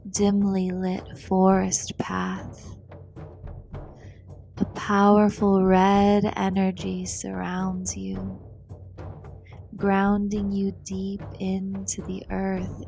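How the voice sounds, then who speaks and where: Very slow woman guiding a meditation. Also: mid-range voice, gravelly, mellow. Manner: soothing, deliberate, long pauses between short phrases. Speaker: guided meditation host. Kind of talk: guided meditation narration, slow and breathy with whispered phrase endings. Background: meditation music.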